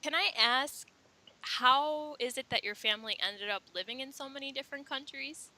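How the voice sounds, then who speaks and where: A woman's voice: sits high, normal speed, pushed and bright, dry recording. A woman hosting an interview, eager and enthusiastic as she asks her guest questions. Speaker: interviewer and channel host. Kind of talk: casual interview.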